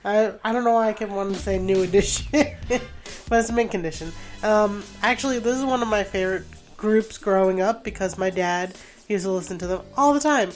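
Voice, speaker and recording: A woman's voice: mid-range voice, fairly quick, soft background music. A woman in her twenties, from Rhode Island, chatting casually as a podcast co-host, telling stories with lots of "like"s. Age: 20s. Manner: chatty, animated storytelling with laughs and "like"s. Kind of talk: casual chat.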